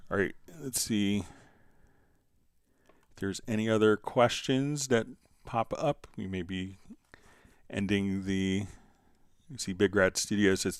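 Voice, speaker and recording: A man's voice: on the low side, no echo, studio quality. A man hosting a casual live Q&A, conversationally reading out viewer comments and answering them. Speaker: livestream host answering software questions.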